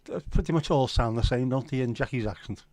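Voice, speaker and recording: A middle-aged Scouse man hosts a relaxed livestream, bantering with viewers and swearing casually as he tells stories. His voice sits at an ordinary middle pitch, and the sound is close and dry with no room around it. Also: fast talker, no room sound. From Liverpool, England. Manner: banter-filled, sweary, jokey. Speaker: livestream host. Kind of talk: casual livestream chat reading viewer comments.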